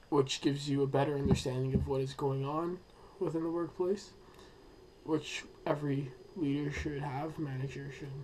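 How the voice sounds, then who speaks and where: A young man who is sick and losing his voice, giving an unscripted school presentation with many pauses and fillers. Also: on the high side, darkish tone, a little hiss or hum, rough recording. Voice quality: hoarse. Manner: hesitant, many ums and uhs, thinking aloud. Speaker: student presenting a school project.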